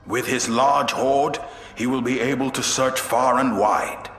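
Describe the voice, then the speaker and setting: An actor playing a wary armored space warrior, speaking in a measured way. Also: male voice, mid-range voice, fairly even intonation, fairly bright tone, soft background music, lightly acted. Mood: steady. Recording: echoey room, long reverb.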